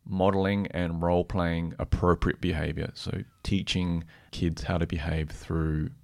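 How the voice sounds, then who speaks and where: A man co-presenting a podcast, explaining research conversationally in a measured, explanatory manner. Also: low voice, flat delivery, vocal fry, darkish tone. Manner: measured, explanatory, tentative when giving opinions. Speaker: podcast co-presenter, practising therapist.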